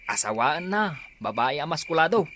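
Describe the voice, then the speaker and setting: Flustered, protesting village sidekick played by an actor, Ilocano accent, comedy drama, clear background noise. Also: mid-range voice, big pitch swings, moderate pace, a bit bright. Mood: uneasy.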